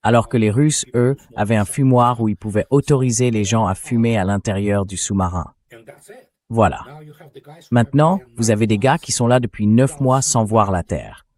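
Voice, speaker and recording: A man's voice: on the low side, moderate pace, a little mellow. A man reading a translated voice-over of political and military commentary in a blunt, scornful, conversational way. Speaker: translated voice-over of an interview guest.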